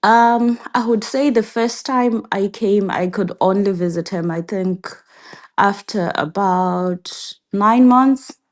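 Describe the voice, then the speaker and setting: A woman in her thirties from Zimbabwe, answering interview questions in a reflective way with frequent pauses and restarts. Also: medium pitch. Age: 30s. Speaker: guest.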